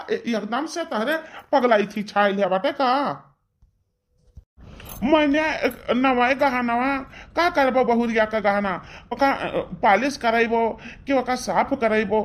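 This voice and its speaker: A woman voicing an older village father-in-law in a cartoon, questioning and scolding with an Awadhi accent. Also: on the high side. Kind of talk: cartoon dialogue.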